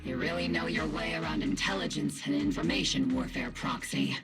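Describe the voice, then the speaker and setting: An actress playing an earnest, loyal military squad captain in a video game, measured and firm, with a creaky voice. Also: female voice, sits low, little pitch movement. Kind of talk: scripted video game voice acting. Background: clear game music.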